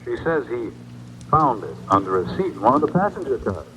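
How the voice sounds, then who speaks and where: An actor playing an earnest, matter-of-fact detective making a report in a 1970s radio mystery. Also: male voice, mid-range voice, very animated, a little fast, natural voice, poor audio. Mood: businesslike. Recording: vintage radio broadcast, faint noise.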